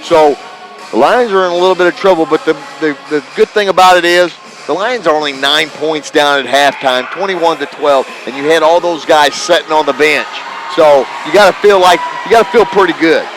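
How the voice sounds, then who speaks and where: An American man giving live radio analysis and stats on a high school basketball game from a noisy gym. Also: a little fast, over music. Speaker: radio color commentator. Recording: noisy gym broadcast with bouncing balls.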